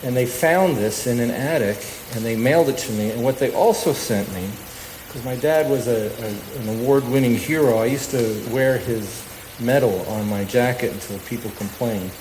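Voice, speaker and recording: Male live radio host, unscripted and halting, roomy sound with some noise. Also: medium pitch. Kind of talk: unscripted stage talk to a live audience. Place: stage of a live radio show. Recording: echoey hall reverb, noticeable background noise.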